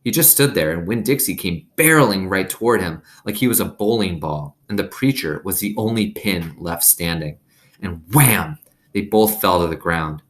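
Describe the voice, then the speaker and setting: A man reading a children's novel aloud as an expressive narrator. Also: deep voice, normal speed.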